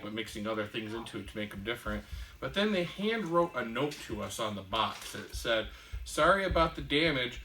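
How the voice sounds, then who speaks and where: A man's voice: mid-range voice, bright, forceful tone. A man co-hosting a casual podcast, telling a long story with many "um"s and "uh"s. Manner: rambling storyteller with many fillers. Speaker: podcast co-host. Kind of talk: casual chat.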